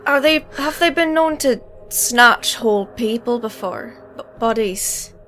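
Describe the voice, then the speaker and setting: Slow, shaken young woman acted out. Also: a little high, expressive, a bit bright, soft background music. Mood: uneasy. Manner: hesitant, halting. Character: shaken young woman haunted by childhood monster sighting. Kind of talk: actual-play audio drama acting.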